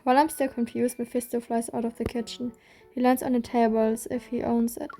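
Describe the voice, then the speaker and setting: A woman reading a game's story aloud in a steady first-person voice. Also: fairly high pitch, fairly quick, faint music behind. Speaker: reader.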